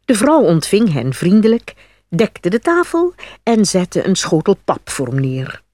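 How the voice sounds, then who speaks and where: A woman's voice: medium pitch, big pitch swings, a little slow, a little mellow, no room sound. A woman narrating a fairy tale from a script as a storyteller.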